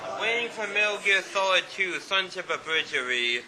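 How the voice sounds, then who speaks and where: A man's voice: sits high, lively intonation, normal speed. A man in the audience at a convention panel, asking casual fan questions. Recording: very noisy live room recording.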